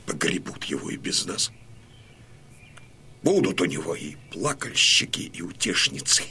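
An actor playing a stern, fierce old Cossack chieftain and father in an audio drama, with a gravelly, raspy voice. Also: deep voice, very animated, unhurried, background noise, heavily acted. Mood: grim, heavy. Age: older.

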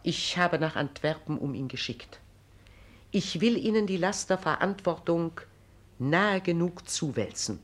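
A woman's voice: lowish voice, expressive, a little slow. Proud, imperious female regent, standard German, formal and emphatic, classic radio-play delivery. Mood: accusing, resolute. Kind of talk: classic German stage-drama radio acting.

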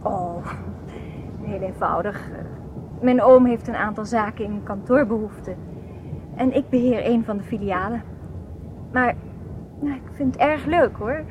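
A woman's voice: a little high, unhurried, pauses often, muffled recording, natural voice. An actress playing a polite, chatty young refugee woman in a radio drama. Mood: chatty, friendly. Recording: radio play with clear background noise. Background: train ambience.

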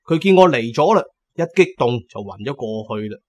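A man's voice: mid-range voice, expressive, brisk, darkish tone. A man narrating a first-person adventure story as a dramatic audiobook storyteller.

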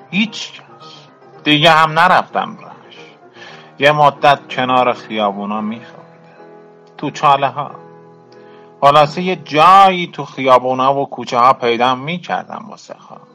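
A man plays a world-weary, bitter former activist in a radio drama. His voice is forceful and bright, at an average pitch. He recounts his life in a colloquial, reflective way, over faint music. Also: close to an everyday voice. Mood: bitter, resigned. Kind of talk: Persian radio drama monologue.

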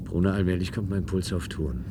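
An actor playing an expressive pilot in a science-fiction radio play. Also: male voice, deep voice, fast talker. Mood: musing, uneasy. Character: male pilot travelling in a science-fiction story. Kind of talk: German radio play acting. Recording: loud noise behind voice.

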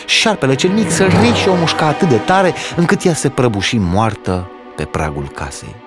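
A man reading a folk tale aloud as a storyteller, in an expressive, dramatic storybook style. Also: on the low side.

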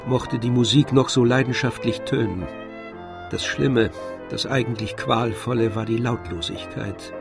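A male narrator with a gravelly, medium-pitched voice. He reads literary radio drama narration in a measured way, with faint music underneath. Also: steady tone.

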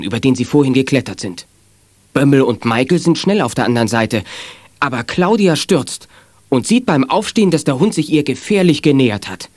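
A man's voice: mid-range voice, darkish tone, dry recording, natural voice. A man narrating a children's adventure radio play in a scripted, dramatic storyteller's style.

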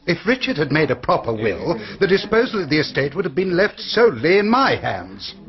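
An actor playing an irascible, self-pitying older invalid uncle in a radio drama, querulous and peremptory. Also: male voice, fairly high pitch, very animated, a little fast. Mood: indignant. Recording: dull broadcast radio recording.

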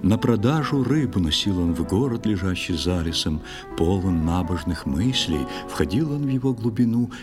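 A man narrating a poetic old tale for a children's audio play in a measured, expressive way, with drawn-out phrases. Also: low-pitched. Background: music.

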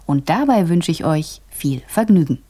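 Mellow, husky female radio presenter. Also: deep voice, expressive, moderate pace. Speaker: radio presenter introducing a play. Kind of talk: scripted introduction addressed to children.

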